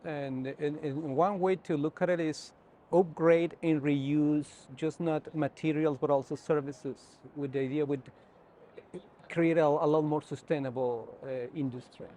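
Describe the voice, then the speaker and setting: A man from northern Mexico with a Mexican accent, a native Spanish speaker, answering interview questions as a university researcher in a halting way with repeated words. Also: on the high side, fairly even intonation, warm tone. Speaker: university researcher, podcast guest. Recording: clear background noise, ambience.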